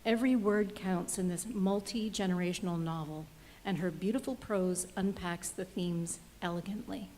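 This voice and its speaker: A soft-spoken woman presenting an award, reading out nominees and jury remarks. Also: on the low side, slight background noise.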